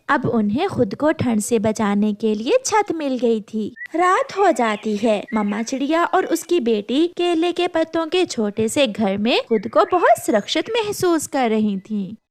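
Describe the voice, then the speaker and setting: A woman reading scripted children's story narration as the storyteller. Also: fairly high pitch.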